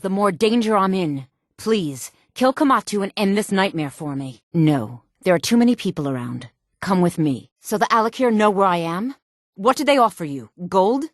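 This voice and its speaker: A woman voicing a proud, secretive exiled noblewoman in a fantasy video game, with a sultry, velvety voice and measured, dramatic, formal delivery. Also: on the low side, no room sound, studio quality. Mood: urgent, suspicious.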